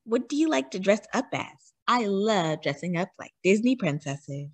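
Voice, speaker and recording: A woman reads a picture book aloud for young children. Her medium-pitched voice has a husky edge and swings widely in melody. She is expressive and often turns to her little listeners with a question. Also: moderate pace, dry recording. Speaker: read-aloud storyteller for children.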